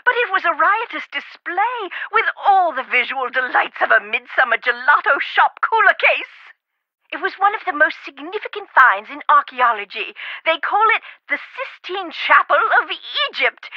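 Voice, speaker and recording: A woman's voice: high voice, no room sound. A flowery eccentric lady scholar. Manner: prim, theatrical, fond of elaborate metaphors and "dear". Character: eccentric chatty woman scholar of Egypt, fussy and flowery. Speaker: character in an adventure game. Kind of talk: studio game voice acting. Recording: dry, slightly dull game audio.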